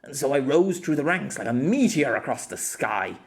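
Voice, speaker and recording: A man acts out a young former knight in an audiobook story. He is cocky and wry, bantering with a lively, moving pitch and a self-deprecating streak. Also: moderate pace. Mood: wry, confiding.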